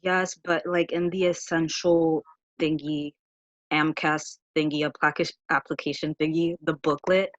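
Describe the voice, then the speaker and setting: A woman's voice: deep voice, steady tone, unhurried, dry recording. A woman from Haiti asking a question on a video-call panel, hesitant and searching for her words. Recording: dry, muffled video call audio.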